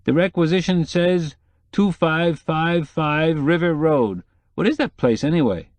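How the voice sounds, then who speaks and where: A brash, breezy newspaperman, as the narrator puts him on, pitched well up from the reader's own voice and blunt. He talks in an audiobook scene, recorded close and dry. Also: measured pace, darkish tone, no echo, close to an everyday voice. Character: brash hungry male newspaper photographer.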